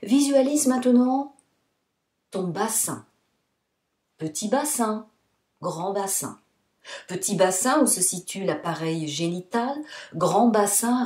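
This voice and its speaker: Slow, roomy-voiced woman guiding relaxation. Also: medium pitch, mellow. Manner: soothing, deliberate, short phrases with pauses. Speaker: yoga nidra instructor. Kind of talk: guided relaxation meditation, spoken instructions. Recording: roomy, echoing sound.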